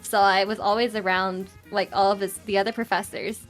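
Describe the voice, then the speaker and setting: A young woman with a high-pitched, loud voice, chatting casually as an interview guest, with many fillers and restarts. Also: little pitch movement, a little fast, faint music behind, rough recording. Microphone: home streaming mic with EQ and compressor.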